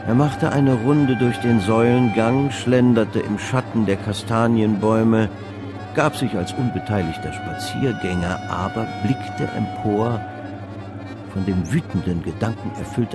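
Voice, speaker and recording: An actor narrating a literary radio play as a measured, all-knowing storyteller of an old stock exchange tale. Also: male voice, fairly low pitch. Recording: music behind the voice. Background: music.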